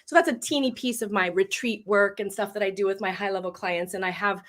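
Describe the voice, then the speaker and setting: A midlife woman with a big voice, telling her story as a voice coach in a casual interview, lively and laughing often. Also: medium pitch, fairly quick. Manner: expressive storyteller with bursts of laughter. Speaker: voice coach and guest.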